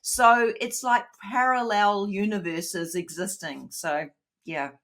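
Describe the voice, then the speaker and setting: A woman's voice: medium pitch, slow pace. A woman with a New Zealand accent, a little nasal from hay fever, co-hosting a casual chat and talking animatedly over a remote call. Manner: animated, frequent restarts, acts out quoted voices. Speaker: podcast co-host.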